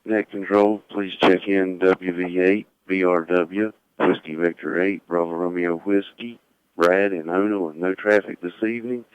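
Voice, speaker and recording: A deep-voiced man checks in to a radio net in a procedural style. His speech is clean, though the transmission is thin and phone-like. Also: steady tone, unhurried, clear, resonant tone, no room sound. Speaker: ham radio operator checking in.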